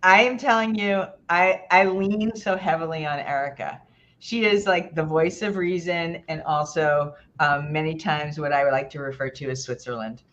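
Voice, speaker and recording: A woman's voice: low-pitched, normal speed, fairly bright tone, highs cut off. A woman hosting an online party over a video call, chatty and encouraging. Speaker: host.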